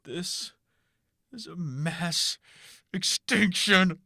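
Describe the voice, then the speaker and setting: A woman acts out a gentle father overcome with grief. The delivery is hushed, tearful and slow, with halting phrases and a dry, close sound, as in a dramatic audiobook. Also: on the low side, creaky, breathy edge, no echo, full character voice. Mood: grave, sorrowful. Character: grief-stricken, gentle father confessing to his daughter. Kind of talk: audiobook character acting.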